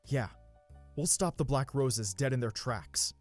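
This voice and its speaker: Man as headstrong young fighter, medium pitch, impassioned, determined, fantasy visual novel, faint music. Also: lively intonation, unhurried. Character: hot-headed, determined young ex-soldier son. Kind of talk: amateur visual novel voice acting.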